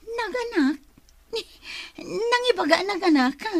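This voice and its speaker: A woman's voice: very high voice, expressive, slow pace, heavily acted. An actress with a Filipino accent playing an evasive young mother in a radio drama. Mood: feigned surprise, indignant. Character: evasive young mother denying she gave birth.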